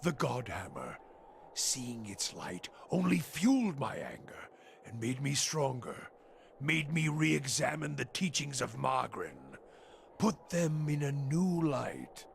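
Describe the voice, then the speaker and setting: An actor playing a bitter, fanatical older fire priest in a fantasy game, gravelly and scornful, preaching in slow pronouncements. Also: male voice, medium pitch, big pitch swings, a little hiss or hum, heavily acted. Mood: curt, angry.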